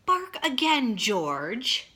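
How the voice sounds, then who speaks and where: Storybook read-aloud: a commanding male vet, as a woman puts him on, slow and bright. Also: fairly high pitch, wildly swooping pitch, full character voice. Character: confident, no-nonsense male vet.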